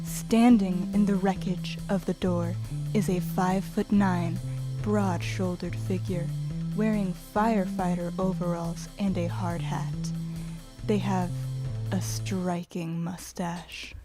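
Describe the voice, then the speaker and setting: A woman playing the narrator of a dating game in an audio drama, reading scripted narration in a deliberate, theatrical way. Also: on the low side, flat delivery. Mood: grandly dramatic. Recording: music under the voice.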